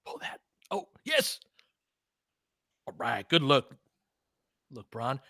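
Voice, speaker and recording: Excitable man with a bright, lively voice. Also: mid-range voice, takes it slowly, dry recording, clean studio sound. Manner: excitable, slangy, profane. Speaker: sports podcast host calling a game. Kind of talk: casual fan play-by-play commentary.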